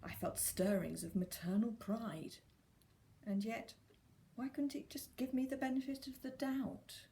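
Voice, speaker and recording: A woman reading a scripted first-person literary story in a dry, measured storytelling manner. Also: fairly high pitch, fairly even intonation, dark tone. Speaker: story reader.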